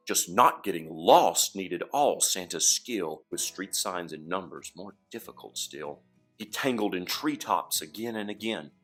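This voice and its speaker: Husky, low-voiced man reading a storybook. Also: fairly bright tone. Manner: dramatic, emphatic storytelling. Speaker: storybook reader. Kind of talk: expressive children's read-aloud of rhyming verse.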